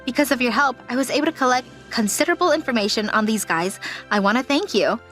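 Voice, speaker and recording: A woman's voice: a little high, quick pace, fairly bright tone, soft background music, natural voice. A woman playing a composed, sincere company auditor in a manga dub. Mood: grateful.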